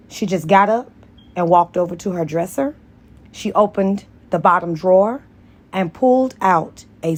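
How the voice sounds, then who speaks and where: A woman narrates an audiobook in a slightly low voice. She reads scripted fiction at a very unhurried pace. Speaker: audiobook reader.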